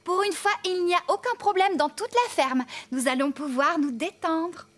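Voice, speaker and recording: Une comédienne qui joue une fermière enjouée et attentionnée, au ton expressif et amical, dans un dessin animé pour enfants. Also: female voice, very high-pitched, moderate pace, a bit bright, dry recording. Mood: relaxed. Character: cheerful, caring adult woman farmer. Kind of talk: children's cartoon dub acting.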